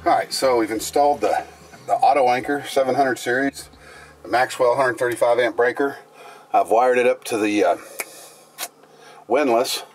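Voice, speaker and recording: A man's voice: medium pitch, moderate pace, long pauses. A middle-aged man giving a casual step-by-step how-to on his sailboat, plainly explaining with fillers, recorded on a camera mic. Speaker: sailing vlogger. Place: on a sailboat deck, outdoors and in the cabin.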